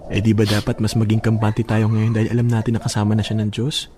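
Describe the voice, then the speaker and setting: Actor as gentle, mellow-voiced devoted Filipino husband. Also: male voice, lowish voice, completely flat delivery, quick pace, slight background noise, lightly acted. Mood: consoling. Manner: gentle, reassuring, affectionate. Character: devoted gentle young husband, hardworking driver, comforting. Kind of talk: Filipino radio drama acting. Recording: faint music behind the voice.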